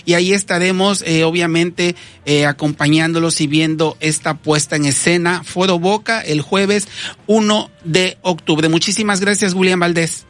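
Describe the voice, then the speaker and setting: A man's voice: high-pitched, steady tone, normal speed, a bit bright. A man hosting a radio interview, chatty and jokey. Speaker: radio host.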